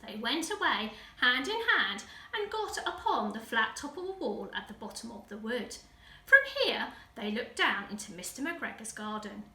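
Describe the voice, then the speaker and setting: An English woman narrates a children's storybook in a bright, high voice. She reads with animated, dramatic emphasis for young listeners. The room echoes around her. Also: moderate pace. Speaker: school teaching assistant reading a story. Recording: roomy echo, distant microphone.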